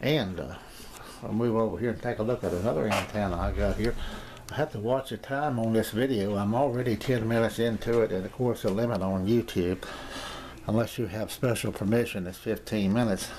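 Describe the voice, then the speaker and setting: A man's voice: lowish voice, brisk. An older man giving a casual, unscripted tour of his radio room, rambling and hesitant as he repeats himself. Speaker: amateur radio hobbyist giving a shack tour. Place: small home radio room, indoors. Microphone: handheld camera's built-in mic. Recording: handheld camcorder with clear background noise.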